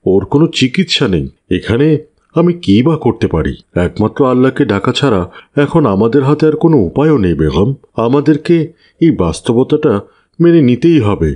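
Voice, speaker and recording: Cartoon landowner father, played by a man, mid-pitched, dramatic swoops, mournful and earnest. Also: darkish tone, natural voice. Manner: lamenting, earnest. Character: sorrowful, resigned village landlord and father. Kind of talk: cartoon voice acting.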